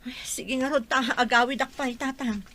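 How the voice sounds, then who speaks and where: A woman's voice: high-pitched, fairly even intonation, moderate pace, bright, forceful tone, slight background noise. A woman playing an anxious mother in an amateur audio drama, with an Ilocano accent. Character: anxious mother searching for her missing daughter.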